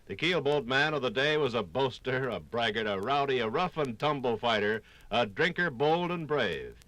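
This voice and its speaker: A man's voice: mid-range voice, animated, moderate pace, fairly bright tone, no echo, low-quality recording. A man narrating a scripted 1950s radio documentary with dramatic, punchy phrasing.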